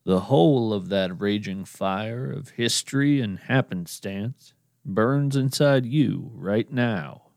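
Slow, earnest male podcast narrator. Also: fairly low pitch, vocal fry, darkish tone, dry recording, clean studio sound. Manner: slow, measured, earnest address to the listener. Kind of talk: scripted reflective narration.

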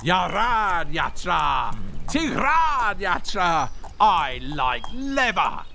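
An actor playing a cheerful, sly trickster guide in a comedic fantasy audio drama, chatty, glib and theatrical. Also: male voice, high-pitched, sing-song, drawn-out words, vocal fry, pushed and bright, a little hiss or hum, heavily acted. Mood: gleefully smug.

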